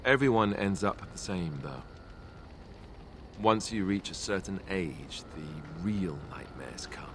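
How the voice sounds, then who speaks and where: A boyish young warrior from a fantasy video game. His voice sits low, with a wry, sardonic, chatty delivery. Clear background noise runs under the dialogue. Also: lively intonation, takes it slowly, full character voice. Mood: sombre. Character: young male warrior, wry, boyish, self-deprecating comrade.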